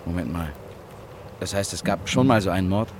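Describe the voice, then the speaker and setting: Actor as an earnest, low-voiced reporter. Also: male voice, a little fast, background noise, natural voice. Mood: insistent. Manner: hesitant but insistent, questioning. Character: earnest, persistent male newspaper reporter, a war veteran. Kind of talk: naturalistic German radio drama acting.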